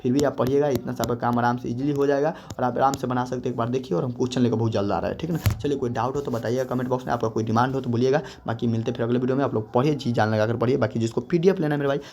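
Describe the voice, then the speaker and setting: A young man walks through a grammar lesson at a moderate pitch. He repeats his points and stretches out each example phrase slowly. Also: fairly even intonation, a little mellow, a little hiss or hum. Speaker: teacher.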